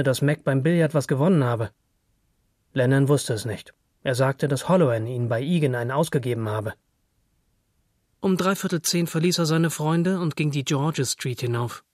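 A man's voice: mid-range voice, dry recording, clean studio sound. A man narrating literary fiction from a script.

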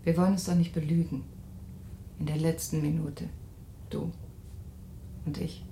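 Creaky-voiced wistful woman refugee, roomy sound. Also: sits low, level tone, unhurried, dark tone. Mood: pressing. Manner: wistful, searching, dramatic phrasing. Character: anxious, tender woman refugee parting from her lover. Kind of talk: intimate radio play dialogue. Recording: roomy sound with faint ambient noise.

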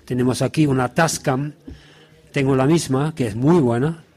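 A man's voice: medium pitch, normal speed, darkish tone. A man presenting at a book launch in an informal, rambling, conversational way. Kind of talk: informal spoken event remarks.

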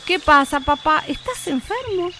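A woman's voice: high-pitched, expressive, a little slow, background noise. A woman with an Argentine accent acting as a cheery, fussing adult daughter in a radio drama, effusive and coaxing. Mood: cheery, concerned.

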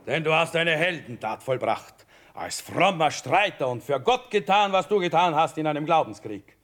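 An actor playing a pompous, blustering army commander in a theatrical radio play, declaiming grandly with false piety. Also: male voice, on the high side, expressive, normal speed, a bit bright. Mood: jovial, pompous.

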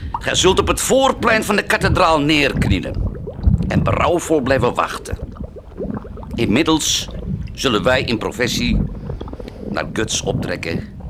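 A man's voice: medium pitch, noisy background. A male actor playing an imperious, solemn bishop in a theatrical radio drama, declaiming his commands.

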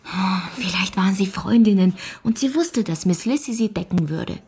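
A woman's voice: medium pitch, animated, moderate pace, darkish tone, breathy edge. An actress playing a curious, stubborn, loyal young girl in a radio drama, questioning and arguing in an earnest way. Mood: argumentative.

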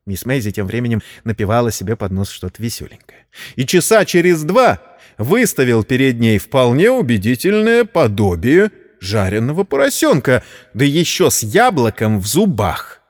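Animated male storyteller with long reverb. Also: mid-range voice, moderate pace. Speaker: narrator. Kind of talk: dramatic scripted story narration.

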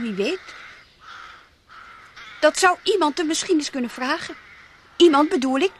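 A woman's voice: high voice, a bit bright, a little hiss or hum. An actress playing a wry, musing woman with a pointed tongue in a radio play. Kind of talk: Dutch radio play acting.

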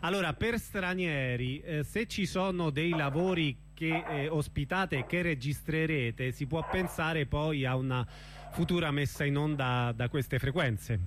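Higher-voiced male interviewer, noisy audio. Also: measured pace. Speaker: radio interviewer. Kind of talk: casual radio interview.

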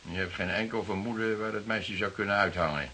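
An actor playing a stern, formal police commissioner in a radio drama, with an authoritative, clipped manner. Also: male voice, fairly low pitch, steady tone, brisk, bright, forceful tone, slight background noise. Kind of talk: Dutch radio drama acting.

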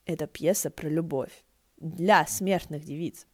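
A woman's voice: low-pitched, unhurried, darkish tone, no room sound, studio quality. A woman voicing a grumpy, laconic male deity who mutters tersely and dryly in a storybook reading. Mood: deadpan.

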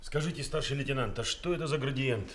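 A man's voice: medium pitch, fast, loud music behind the voice, close to an everyday voice. A man playing an earnest, troubled, experienced war correspondent in a Soviet radio play. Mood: quiet, inquiring.

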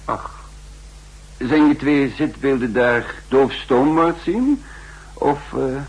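A man acting a shrewd, sardonic counter-espionage chief in a 1960s radio drama, speaking deliberately in clipped, dryly ironic phrases. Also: medium pitch, husky, low-quality recording. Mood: wary, ironic.

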